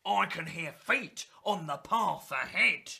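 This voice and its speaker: Hungry storybook monster, drawn-out and theatrical, low, bright, husky; a woman reads it. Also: animated, heavily acted. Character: big hungry Gruffalo monster, gruff and greedy.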